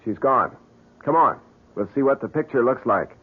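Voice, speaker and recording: A man's voice: mid-range voice, lively intonation, moderate pace, breathy edge. An American actor playing a scheming, evasive man in an old-time radio drama. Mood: sly, confident. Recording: tinny, band-limited old radio broadcast.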